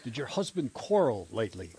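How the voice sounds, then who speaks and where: An actor playing a stern, short-tempered medieval captain in a video game, gruff and authoritative. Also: male voice, medium pitch, big pitch swings, fairly quick, dark tone. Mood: grave. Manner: gruff, authoritative, clipped orders. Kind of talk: dramatic video game cutscene acting.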